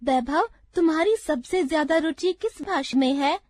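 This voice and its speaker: A woman's voice: high-pitched, moderate pace, darkish tone, no echo, clean studio sound, pitched above the performer's own voice, close to an everyday voice. A woman voicing a curious young schoolchild who asks questions in a classroom dialogue. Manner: inquisitive, surprised questions.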